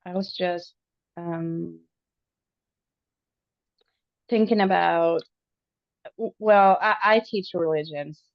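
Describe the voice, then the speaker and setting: Norwegian woman, podcast co-host. Lowish, creaky voice, halting delivery, searches for words. Dull, dry recording. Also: dry recording. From Norway. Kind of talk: casual podcast conversation.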